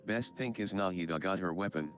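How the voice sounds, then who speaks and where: A man's voice: sits low, brisk. A man chatting casually as he streams a game, recorded on a dull mic. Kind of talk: casual chat while gaming. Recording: dull mic with faint noise.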